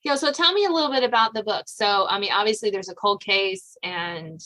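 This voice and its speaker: A woman hosting a podcast in a chatty, casual interview style, quick to laugh. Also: mid-range voice, bright, forceful tone. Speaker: podcast host.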